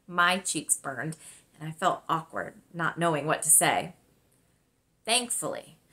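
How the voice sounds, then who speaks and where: A woman narrating a children's novel aloud as its author, with expressive, scripted storytelling delivery. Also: lowish voice. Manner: expressive, clearly dramatized delivery.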